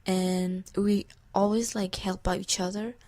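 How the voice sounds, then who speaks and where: A woman's voice: medium pitch, level tone, unhurried, a crowd in the background. A teenage girl from Thailand, a student soccer player answering informally in an interview.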